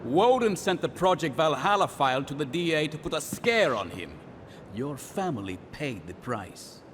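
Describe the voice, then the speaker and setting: An actor playing a suave Russian gangster villain in a video game, smug, theatrical and mock-courteous. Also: male voice, big pitch swings, moderate pace. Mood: smug, taunting. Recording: long hall reverb, faint rumbling noise.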